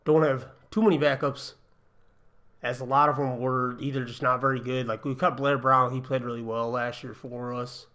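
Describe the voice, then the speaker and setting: A young man with a slightly raspy voice from a cold, chatting casually through a gaming livestream from his apartment, thinking aloud with ums and uhs. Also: medium pitch, flat delivery, normal speed, pushed and bright. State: getting over a cold, a little raspy. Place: home apartment.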